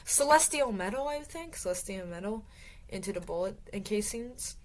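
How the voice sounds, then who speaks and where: A woman's voice: medium pitch, expressive, moderate pace, a little mellow, a little hiss or hum, low-quality recording. A woman casually recapping a novel for her book review channel, rambling with lots of fillers and restarts, her voice growing tired. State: voice getting tired.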